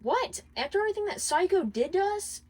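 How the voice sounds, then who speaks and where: A woman's voice: very high-pitched, big pitch swings, fairly quick, darkish tone, pitched above the performer's own voice. A woman voicing a snarky, complaining female hellhound in a fan fiction reading. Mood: indignant.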